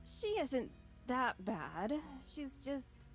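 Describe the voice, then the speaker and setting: Shy young woman, slightly high, meek, hesitant, evasive, visual novel game, quiet background music. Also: sing-song, drawn-out words, heavily acted. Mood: timid, defensive. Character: shy, embarrassed village girl, evasive and apologetic.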